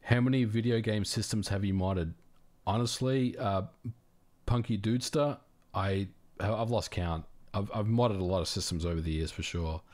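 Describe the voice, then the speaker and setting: Man, Australian accent, somewhat low voice, livestream host, casual friendly chat, lots of fillers. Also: moderate pace. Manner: relaxed, friendly, frequent fillers and restarts. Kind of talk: casual livestream chat reading viewer questions.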